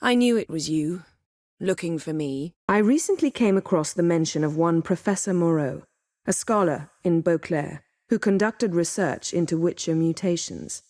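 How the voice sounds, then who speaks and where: A woman's voice: on the low side, moderate pace, a little mellow, no echo, clean studio sound, lightly acted. A woman voicing a proud, commanding, sardonic sorceress in a fantasy video game, with formal, cool, clipped diction. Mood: measured, businesslike. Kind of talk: video game voice acting, scripted dialogue.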